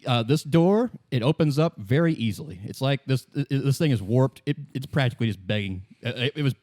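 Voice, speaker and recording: A man's voice: medium pitch, expressive, a little fast, a little mellow, dry recording, clean studio sound. A man acting as game master, narrating the game in a casual, colloquial, improvised way. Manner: conversational, colloquial.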